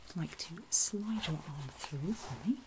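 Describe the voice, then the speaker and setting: A woman whispering gently in an ASMR medical roleplay, giving reassuring step-by-step instructions as an examiner, in a soft breathy voice. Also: low voice, expressive, a little slow, background noise. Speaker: ASMR performer playing a pre-op medical examiner.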